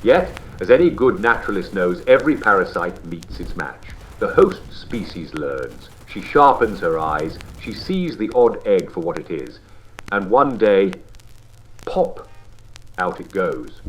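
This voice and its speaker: Vintage propaganda broadcaster, played by a man: avuncular, mock-genteel, patriotic, very animated intonation, clear background noise. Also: low voice, rough recording. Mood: smug, soothing. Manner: theatrical, mock-genteel, deliberate pauses. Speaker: radio host. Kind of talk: vintage radio propaganda narration.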